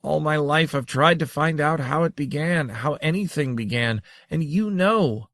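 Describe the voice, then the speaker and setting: Man doing a shrill, frantic explorer. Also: unhurried, a bit bright, no echo, studio quality. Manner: shrill, pleading, bleating. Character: excitable, desperate male explorer.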